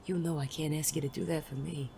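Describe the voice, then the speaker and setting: A performer playing a world-weary, self-doubting male private eye and war veteran in an audio drama, gruff, hesitant and prone to swearing. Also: mid-range voice, fairly even intonation, quick pace, dark tone, natural voice. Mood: weary, reluctant. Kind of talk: intimate audio drama dialogue. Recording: clearly noisy background ambience.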